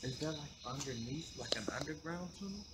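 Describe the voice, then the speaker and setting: A man's voice: on the high side, expressive, a little fast. A young American man chatting casually in slangy, profane talk, picked up off camera outdoors at night. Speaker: companion on a ghost hunt. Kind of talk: casual chat. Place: outdoors at night around a train station. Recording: very noisy outdoor night recording with insects.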